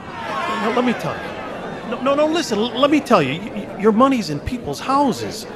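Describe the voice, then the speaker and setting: Earnest stammery young guy, lively high voice, noisy background. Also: normal speed, crowd noise. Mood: urgent. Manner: emphatic, stammering. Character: young man, impulsive and earnest, lead. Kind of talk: radio play acting.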